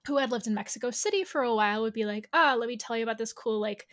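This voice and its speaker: Female podcast guest with a lightly high pitch; chatty, explanatory, casual personal storytelling. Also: very fast, fairly bright tone. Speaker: interview guest.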